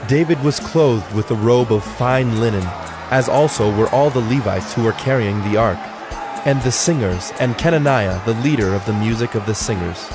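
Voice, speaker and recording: Brief: male narrator, mid-pitched, solemn and measured dramatized scripture reading, clear music bed. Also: crowd noise.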